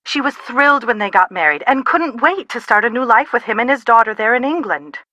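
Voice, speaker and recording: A woman playing an anxious, sharp-tongued middle-aged mother in a video game, with a dry, dramatic manner. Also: on the high side, animated, brisk, no echo. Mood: worried, pleading. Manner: exasperated, dramatic, dry wit. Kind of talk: video game dialogue, phone conversation acting.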